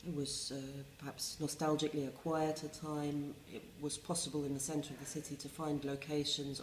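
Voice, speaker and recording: A middle-aged English woman, a resident playwright, talking reflectively in a wry, self-mocking way with many pauses and restarts. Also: very deep voice, roomy sound, slight background noise, low-quality recording. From England. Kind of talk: reflective interview talk.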